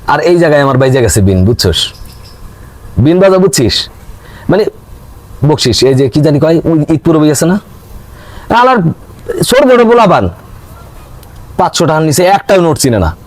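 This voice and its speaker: An actor plays a hard-up city office worker with a Bangladeshi accent, pitched fairly high for a man. He tells his money woes in exasperated, colloquial comic-drama fashion, swinging widely up and down. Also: no echo. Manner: animated grumbling storyteller, colloquial and exasperated. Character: broke, aggrieved city office worker begging friend for money. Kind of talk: scripted comic drama dialogue.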